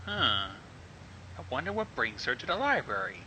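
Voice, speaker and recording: A man joining a casual reading as guest, reacting excitably with laughs and commentary, heard over a lo-fi voice call. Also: medium pitch, normal speed, breathy edge. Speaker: co-host guest.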